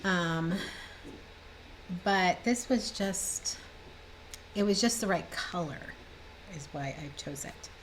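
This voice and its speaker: A woman's voice: fairly low pitch, animated, slow pace, pauses often, a little hiss or hum, poor audio. A woman in her fifties, a little stuffed up, chatting cheerfully and rambling as she shows her cross-stitch projects at home. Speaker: cross-stitch designer and vlogger. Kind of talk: casual show-and-tell chat. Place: home room with bookshelves, indoors.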